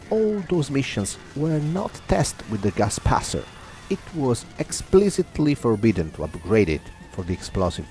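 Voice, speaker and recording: A man's voice: mid-range voice, gravelly, a little mellow. A man giving a formal, wordy voice-over commentary over his own gameplay video. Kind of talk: scripted voice-over narration.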